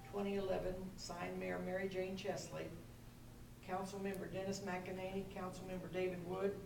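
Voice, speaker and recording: A woman's voice: medium pitch, creaky. An American woman formally reading out an official notice as a meeting clerk. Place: indoor council chamber. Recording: echoey, noisy room, distant microphone.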